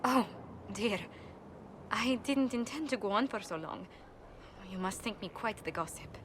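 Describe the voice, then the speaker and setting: An actress playing a poised, gracious noble diplomat in a game, speaking with polished formality. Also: female voice, mid-range voice, animated, unhurried, slight background noise, lightly acted. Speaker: ambassador and diplomat.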